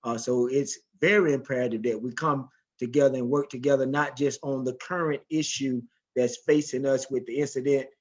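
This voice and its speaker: Panelist man, mid-pitched, earnest, stop-start, dull lo-fi line. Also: fairly even intonation. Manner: earnest, halting, frequent uh's. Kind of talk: panel discussion on a video call. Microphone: video call.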